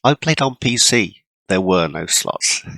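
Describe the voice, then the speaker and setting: A man hosting a gaming channel, congested from a cold and clearing his throat often, rambling casually through his gameplay. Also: low-pitched, expressive, a little slow, sharp tone, no room sound, poor audio. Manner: rambling, self-deprecating, frequent throat clearing.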